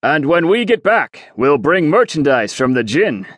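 A cocky young sailor hero, as a male narrator plays him, breezy and teasing with a bouncy, lively lilt. He sits a little higher than the reader's own voice, and the sound is dry and close. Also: measured pace, no echo, full character voice. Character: cheeky male sailor adventurer, cocky and jovial.

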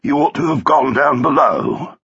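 A man's voice: medium pitch, sing-song, a little slow, vocal fry, fairly bright tone, breathy edge, lo-fi sound, full character voice. A man reading a story and voicing a glum, disapproving, stuffy husband who mutters and grumbles reluctantly. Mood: uneasy, reproachful.

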